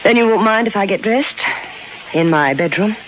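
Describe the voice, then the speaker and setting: A woman's voice: mid-range voice, expressive, moderate pace, natural voice. A woman playing a cool, flirtatious society widow, poised with coy hesitations, in a 1950s radio crime drama. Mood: resigned, coy. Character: cool, flirtatious femme fatale, wealthy brunette widow. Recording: noisy 1950s radio broadcast recording.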